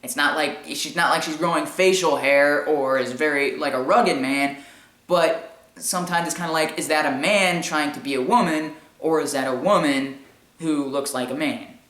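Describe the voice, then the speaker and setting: A man with a high-pitched voice giving informal opinion commentary in an explanatory, argumentative way with emphatic repetition. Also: normal speed, fairly bright tone. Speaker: commentary show host. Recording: echoey room sound.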